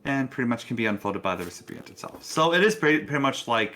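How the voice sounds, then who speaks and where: A man acts as game master for a tabletop roleplaying session. His voice is bright and clear-cut, and he explains the scene in a casual, conversational manner. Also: mid-range voice, lively intonation, fast talker, slight background noise.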